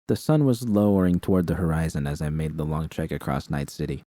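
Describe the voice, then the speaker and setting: A man reading a first-person fan story aloud in an even, measured way. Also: low voice, no room sound, studio quality. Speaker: fanfiction reader.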